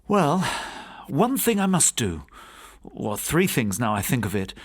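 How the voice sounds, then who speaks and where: A man voicing an anxious, excitable and intensely curious university curator in an audiobook, questioning urgently with donnish politeness. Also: mid-range voice, unhurried, slightly rough. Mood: resolute.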